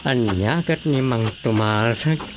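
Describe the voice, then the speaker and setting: A man's voice: mid-range voice, slow, lightly acted. Male actor, male village councillor, Filipino accent, conversational, inquisitive, comedic radio drama, faint background noise. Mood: chatty. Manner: questioning, conversational.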